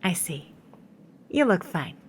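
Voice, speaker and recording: A stern schoolteacher played by a woman, sceptical and clipped. Her low voice rises and falls with dry disapproval, in radio-play style. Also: sing-song, slow pace, slight background noise. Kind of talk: audio drama acting.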